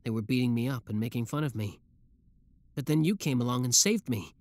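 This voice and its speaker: A man's voice: mid-range voice, a little fast, darkish tone, dry recording, natural voice. A man playing an obsessive, lovestruck young schoolboy villain in a roleplay audio drama, talking intimately to the listener. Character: shy schoolboy turned smug, obsessive yandere young villain.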